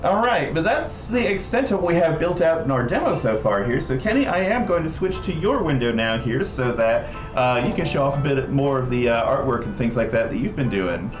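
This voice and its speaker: A man's voice: medium pitch, very animated, a little fast, hardly any pauses. An American man from Southern California hosting a casual livestream, explaining things in a scholarly way. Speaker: livestream host presenting a game project. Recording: roomy echo, faint music behind. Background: faint music.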